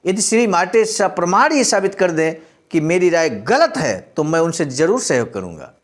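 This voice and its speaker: A man with a distinctly high-pitched voice reads and comments as a speaker would. His delivery is earnest and exhortative, moving between recited text and explanation aimed straight at the listener. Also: big pitch swings, moderate pace. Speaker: speaker reading and commenting on a historical speech. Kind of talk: read-aloud speech with earnest explanatory commentary.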